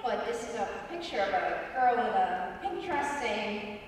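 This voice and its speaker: A woman's voice: medium pitch, expressive, unhurried, slight background noise, close to an everyday voice. A young woman on a school stage playing a college admissions interviewer who grows politely exasperated. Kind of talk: stage play performance. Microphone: far audience camera. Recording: echoey hall, far from camera.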